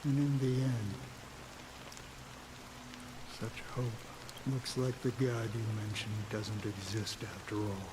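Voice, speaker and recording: An actor voicing a world-worn older male ranger in a game cutscene, speaking slowly and reflectively with quiet resignation. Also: lowish voice, level tone, very noisy. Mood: weary. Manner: slow, reflective, heavy with resignation. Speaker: game character. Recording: game audio with rain falling behind.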